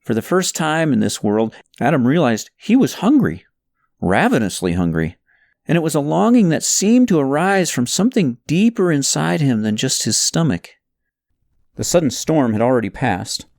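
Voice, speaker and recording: A man reading a novel aloud as scripted narration, telling the story in an expressive, dramatic way. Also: a little high, moderate pace, vocal fry, no room sound, clean studio sound.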